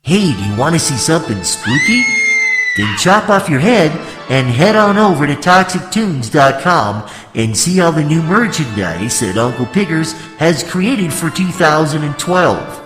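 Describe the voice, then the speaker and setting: A man voicing a creepy, gleeful monster-show pitchman, hammy and theatrical, in a comic spooky radio ad. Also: sing-song, unhurried. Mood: gleefully creepy. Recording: echoey long reverb, music underneath.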